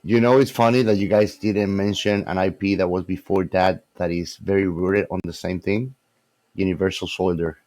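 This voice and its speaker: A man's voice: low voice, steady tone, moderate pace, darkish tone, no room sound. A man chatting casually as a podcast co-host.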